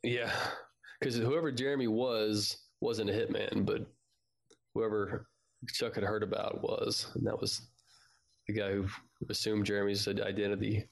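A man's voice: fairly low pitch, level tone, a little slow, vocal fry. A man from Texas chatting informally as co-host of a fan podcast about an audio drama. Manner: informal, conversational.

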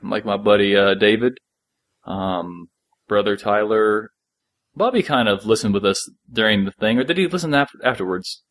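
Low-voiced man chatting on a podcast. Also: normal speed. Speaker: podcast co-host. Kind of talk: casual chat about an audio drama.

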